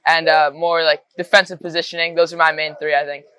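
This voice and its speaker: A sixteen-year-old man from Connecticut, talking relaxed and casual with "like" and "uh". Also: a little high, fast, smooth, no echo. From Connecticut, USA. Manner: relaxed, uses "like" and "uh". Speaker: student athlete. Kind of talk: casual testimonial interview.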